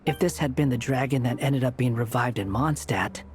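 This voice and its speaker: A man's voice: medium pitch, normal speed, natural voice. An actor playing an aloof, sardonic young man in a game, making dry, cutting remarks. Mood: musing. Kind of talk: game cutscene voice acting. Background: background music.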